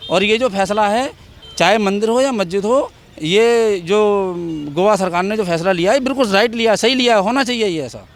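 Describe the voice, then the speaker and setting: A man's voice: high voice, moderate pace. A man giving his opinion in a street interview outdoors, speaking emphatically and repeating his points. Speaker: member of the public giving opinion.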